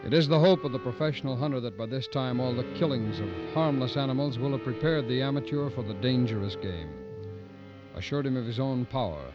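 A man narrates a radio drama in a measured, solemn style. His pitch is medium. Music plays clearly behind him, and the old recording is muffled. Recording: dull vintage radio recording.

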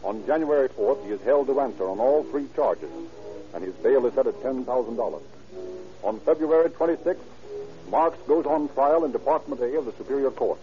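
A man playing a sober narrator of a crime docudrama, giving measured, reportorial scripted narration in a vintage radio style. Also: mid-range voice, expressive, close to an everyday voice. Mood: solemn. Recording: dull old radio recording, music underneath.

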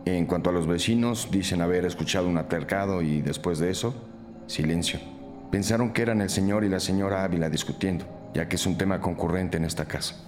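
A sober male detective with a very deep voice, played by an actor, lays out evidence methodically. It is scripted crime podcast drama, with music plainly underneath. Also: a little fast, lightly acted. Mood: matter-of-fact. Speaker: detective reporting case facts.

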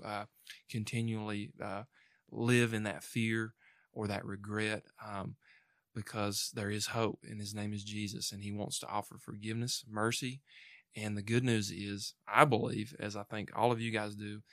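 Earnest low-voiced American man. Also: flat delivery, normal speed, fairly bright tone, no echo, clean studio sound. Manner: earnest, exhortative. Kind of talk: pastoral talk.

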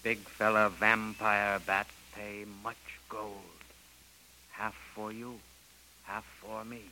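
An actor playing a mercenary, scheming native canoe guide in an old radio serial, speaking terse broken pidgin English on a hissy recording. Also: male voice, lowish voice, little pitch movement, slow, bright, forceful tone, heavily acted, poor audio. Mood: conspiring. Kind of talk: 1930s radio serial acting.

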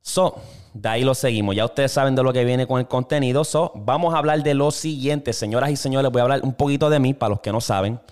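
A man's voice: mid-range voice, fast, darkish tone. A young man from Puerto Rico with a Puerto Rican accent, hosting a casual solo podcast in a slangy, laid-back way. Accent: Puerto Rican Spanish. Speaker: podcast host. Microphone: single camera with mic.

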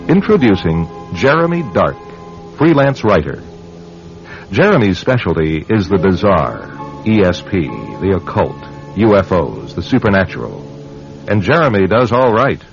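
A man narrating a radio drama as announcer, with a portentous, deliberate, dramatic delivery. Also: deep voice, very animated. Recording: dull lo-fi radio recording. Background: music.

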